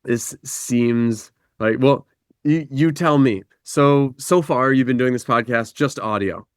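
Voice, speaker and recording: A man's voice: mid-range voice, clean tone, no room sound, studio quality. Thoughtful man speaking in images. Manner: thoughtful, reaches for images, pace shifts from slow to quick. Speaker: podcast guest, life guide. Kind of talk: reflective conversation.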